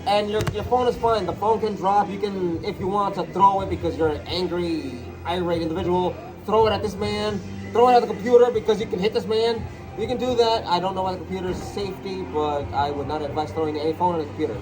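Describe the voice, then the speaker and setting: A man with a congested head cold, chatting casually about games in a rambling, halting way. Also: sits high, music in the background, rough recording. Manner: rambling, halting, joking asides. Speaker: co-host. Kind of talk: casual gaming chat.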